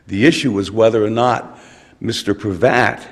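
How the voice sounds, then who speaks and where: An older male attorney, hoarse from a cold, arguing an appeal in a courtroom with frequent halting restarts. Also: on the low side. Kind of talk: appellate oral argument. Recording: long courtroom reverb.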